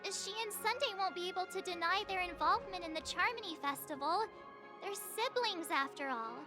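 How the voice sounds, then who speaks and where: A very high, girlish voice plays a chatty young heroine in a video game. She is earnest, cute and expressive, with music clearly behind her. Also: normal speed. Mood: curious, concerned. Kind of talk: scripted video game voice acting.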